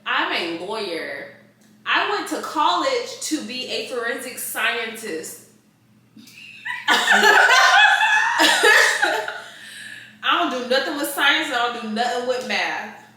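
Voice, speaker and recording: A woman's voice: sits high, big pitch swings, slow, long pauses. A woman in her late twenties in a casual advice chat, blunt and emphatic, with informal slangy wording. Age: late 20s. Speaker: podcast host. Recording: roomy echo, distant mic, faint noise.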